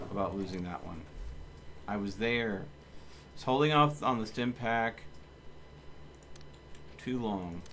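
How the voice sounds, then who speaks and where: A man's voice: on the low side, fairly bright tone, slight background noise. A man giving casual, blunt and grumbling live commentary while streaming a game. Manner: blunt, grumbling, mildly cursing.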